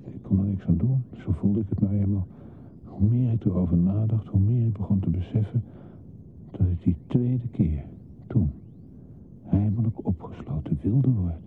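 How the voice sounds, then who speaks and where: An actor playing a lonely, disturbed man who longs to be a baby, in a hesitant, confiding, often near-whispered radio play monologue. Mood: brooding. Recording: muffled lo-fi recording with hiss and rumble.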